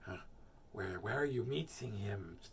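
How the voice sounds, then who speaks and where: A man voicing a predatory, luring storybook snake with a drawn-out delivery. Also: fairly low pitch, expressive, breathy edge, pitched below the performer's own voice, full character voice. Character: slow, inviting, predatory snake.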